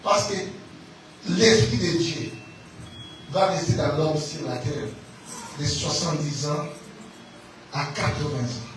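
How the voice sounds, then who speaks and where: A man's voice: a little high, animated, takes it slowly, long pauses, slight background noise. A man with a Congolese accent preaching fervently, repeating phrases emphatically and prompting his listeners to respond. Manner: repetitive, emphatic, calls on listeners to answer. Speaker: preacher, pastor. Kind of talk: fervent, exhorting sermon. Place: church hall. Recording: very echoey church PA, distant, music behind.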